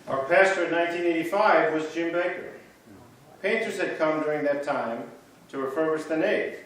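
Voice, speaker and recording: A man's voice: a little high, normal speed, fairly bright tone, slight background noise. A man reading a church history script aloud in reader's theater, telling the stories with a storyteller's build-up. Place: large church sanctuary. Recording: echoey church from far off.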